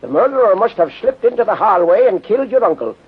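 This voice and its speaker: An actor playing a plain-spoken, helpful police sergeant in a 1930s radio drama, with a blunt, colloquial way of talking. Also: male voice, high voice, big pitch swings, fast talker, husky, full character voice. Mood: matter-of-fact. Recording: muffled, noisy 1930s radio recording.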